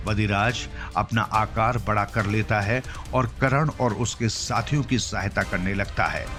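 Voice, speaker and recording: A man with a fairly low voice tells a scripted children's fantasy tale. He is the narrator, and music plays clearly behind his words. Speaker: story narrator. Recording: clear music bed under the voice. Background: background music.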